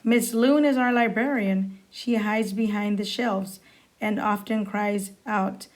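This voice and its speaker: A woman's voice: mid-range voice, fairly even intonation, slow, rough recording. A woman reading a children's story aloud. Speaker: school staff member reading aloud.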